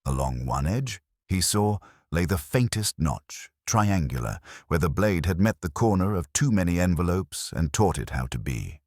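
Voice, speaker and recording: A man narrating a literary mystery story in a measured, wry, deliberate way. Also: sits low, sing-song, a little mellow, no echo, clean studio sound.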